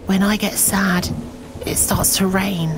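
A woman's voice: medium pitch, level tone, slow pace, over music, lightly acted, poor audio. A woman playing a sad, grieving girl in a fantasy game, plaintive and hesitant. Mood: mournful.

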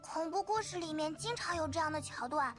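A woman voicing a tiny, excitable, childlike fairy companion in an anime game, squeaky and dramatic. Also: brisk, lightly acted. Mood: nervous. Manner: exclamatory, dramatic. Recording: game music behind.

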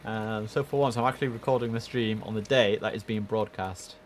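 A young man talking from his desk through a headset, chatty and easygoing with viewers, switching into clipped tower radio phrasing. His voice sits a little low, and there is a steady noise floor behind him. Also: brisk. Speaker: streamer playing air traffic control. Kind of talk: casual gameplay commentary with radio calls. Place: home room at a desk.

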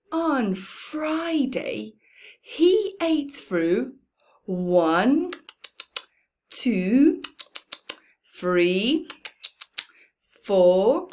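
A middle-aged woman with a fairly high voice reads aloud for small children. She goes extremely slowly and deliberately, leaving dramatic pauses and using hushed emphasis in an expressive storytime delivery. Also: slight background noise. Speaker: storyteller reading to young children. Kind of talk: slow children's picture-book read-aloud. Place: indoors in a room at home.

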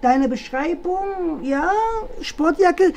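A man's voice: very high-pitched, drawn-out words, gravelly, a little mellow, a little hiss or hum, full character voice. A man playing a chatty, rambling father who dotes on his son and boasts about him in an improvised comedy sketch. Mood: patronising. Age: young adult. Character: chatty, boastful, doting father visiting his son's classroom. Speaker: performer in a school comedy sketch. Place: small bright classroom indoors.

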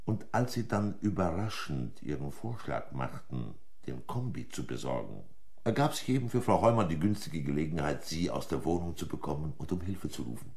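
A man acting a composed examining magistrate in a German crime radio play, formal, measured and condescending. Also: rumbling low, sing-song, darkish tone, full character voice. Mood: firm, accusing. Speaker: actor.

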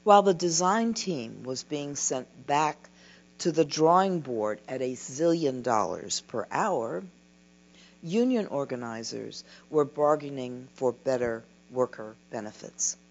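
Slow, low-voiced older woman lecturing. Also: animated. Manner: slow, measured reading of a prepared talk. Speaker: keynote speaker. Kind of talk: scripted conference lecture. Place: dim lecture hall at a podium. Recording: dull lo-fi recording with mains hum.

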